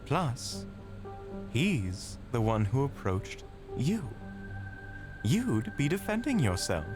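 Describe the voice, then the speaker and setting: A man's voice: mid-range voice, very animated, takes it slowly, music in the background. A man voicing a malevolent inner demon in an audio drama, sinister and mocking as he goads his victim. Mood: goading, sinister. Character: malevolent taunting male inner demon, a hallucination. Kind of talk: audio drama villain dialogue.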